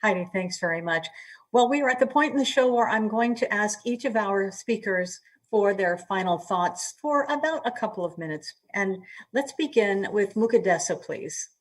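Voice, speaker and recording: A woman moderating an online panel, smoothly reading out audience questions. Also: medium pitch, lively intonation, moderate pace. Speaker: emcee and moderator. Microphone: video call. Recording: distant webcam audio.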